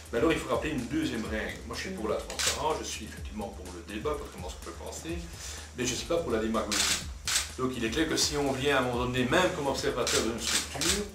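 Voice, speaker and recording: A man speaking as a politician in an unscripted debate, argumentative and long-winded in his delivery. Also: lowish voice. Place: cafeteria. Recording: roomy, distant mic, noisy with clicks.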